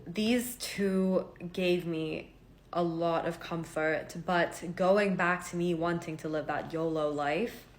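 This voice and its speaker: A woman's voice: on the low side, fairly even intonation, slow pace. Chatty young woman from Hong Kong. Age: 20s. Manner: chatty, earnest, many "like" fillers. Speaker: vlogger. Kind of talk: casual talking-to-camera story. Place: home.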